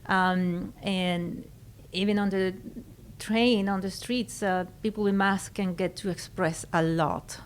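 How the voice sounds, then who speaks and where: A middle-aged woman from Venezuela with a Venezuelan Spanish accent, talking as a guest in a conversational interview and pausing to search for her words. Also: mid-range voice, steady tone, a little hiss or hum. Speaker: guest photographer.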